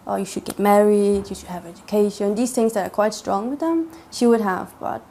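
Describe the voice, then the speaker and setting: Young woman, interviewee, hesitant and full of fillers, medium pitch, faint hiss behind her. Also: fairly quick, mellow. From Denmark. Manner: hesitant, many fillers and restarts. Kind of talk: personal testimony interview.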